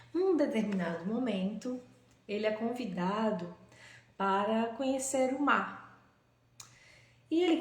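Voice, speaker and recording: A woman, a holistic therapist, hosting a live stream in a casual, gentle way and telling a story, often checking in with her listeners. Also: on the high side. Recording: echoey room.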